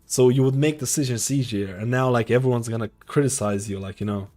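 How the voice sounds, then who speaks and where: A man in his thirties, originally from Lithuania, talks to his stream viewers in a loose, rambling way, circling back and repeating phrases. His voice sits at a middling pitch. Faint music plays quietly behind him. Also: brisk. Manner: rambling, repeats himself, many fillers. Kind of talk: casual live-stream chat. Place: home streaming room.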